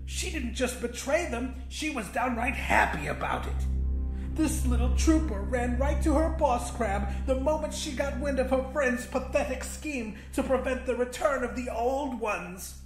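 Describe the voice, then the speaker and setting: A man's voice: extremely high pitch, expressive, a little slow, pitched above the performer's own voice. A man voicing a pompous, theatrical shadow monster, a gleeful villain in a horror audiobook. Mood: mock concern. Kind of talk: dramatic horror audiobook character voice. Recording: roomy echo with faint music.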